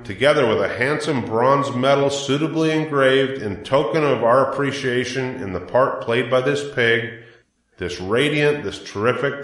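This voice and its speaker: A man voicing a pompous, formal fairground loudspeaker announcer in an audiobook, grandiose and declamatory. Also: medium pitch, reverberant, pitched above the performer's own voice, lightly acted, poor audio. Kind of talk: audiobook character voice.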